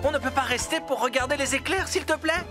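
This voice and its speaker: A woman voicing an eager, playful young male digger vehicle in a dubbed cartoon, bouncy and excitable. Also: sits high, quick pace, lightly acted. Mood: pleading. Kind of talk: French cartoon dubbing. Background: music score.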